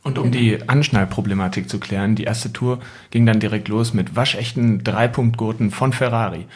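A man in his twenties talks casually as a podcast guest. His voice is a bit on the low side. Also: normal speed. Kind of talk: casual chat.

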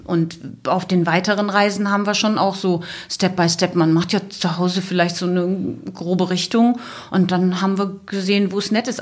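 A middle-aged woman telling travel stories as a podcast guest in a casual, chatty, colloquial way. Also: fairly low pitch.

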